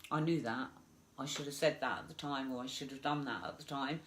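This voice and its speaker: A woman's voice: a bass voice, brisk, slight background noise. A woman with a stuffed-up voice from a flu, giving a chatty live psychic reading with many fillers. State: congested with flu. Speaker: psychic medium.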